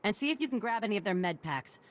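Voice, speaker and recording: An actress playing a battle-weary but encouraging military officer in a video game, speaking in an informal, direct way. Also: female voice, medium pitch, expressive, fast, lightly acted. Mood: grave, rallying.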